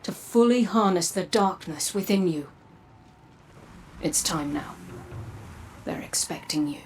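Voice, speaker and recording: Slow, low-pitched, cryptic seer. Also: female voice, expressive, background noise. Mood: grave, foreboding. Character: cryptic, grave seer from a dark timeline. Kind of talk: dramatic video game narration.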